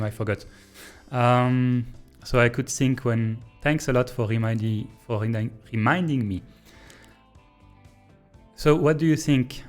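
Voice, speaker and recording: A man with a French accent, a native French speaker, thinking aloud in a hesitant, pause-filled way as he streams and comments on a train-building game. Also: mid-range voice. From Belgium. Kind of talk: casual live-stream gameplay commentary.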